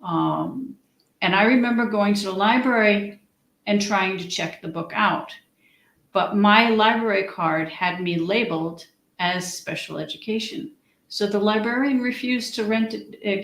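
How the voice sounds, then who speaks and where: A woman's voice: mid-range voice, a bit bright. A middle-aged woman from the United States, a guest in a conversational interview, talking with many restarts and ums. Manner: hesitant, frequent restarts and ums. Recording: echoey room.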